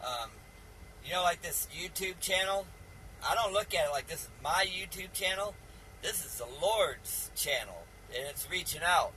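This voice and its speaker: Male, 50s. Slightly high, forceful voice, earnest rambling vlogger, faint background noise. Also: animated, normal speed, rough recording. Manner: earnest, insistent, folksy, repeatedly addresses listeners as man. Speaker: video channel host. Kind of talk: rambling religious vlog monologue.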